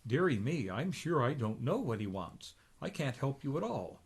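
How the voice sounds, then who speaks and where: A man's voice: mid-range voice, sing-song, moderate pace, slightly rough. A man voicing a kindly, folksy old grandmother duck while reading a comic book aloud. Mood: helpful.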